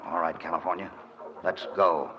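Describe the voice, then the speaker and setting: An actor playing a calm, commanding cowboy hero in 1940s radio acting style. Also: male voice, low voice, normal speed, natural voice. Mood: steady. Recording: muffled old radio recording with hiss.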